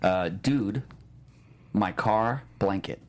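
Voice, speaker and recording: A man with a low-pitched voice, chatting casually as a co-host. He talks in a joking, rambling way, as in loose banter among friends on a show. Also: very slow, a little mellow, a little hiss or hum. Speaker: podcast co-host.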